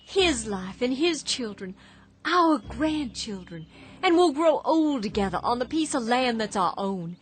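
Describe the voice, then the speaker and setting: Young farm wife, high lively voice, pleading, halting, radio drama. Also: female voice. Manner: emotional, pleading, halting restarts.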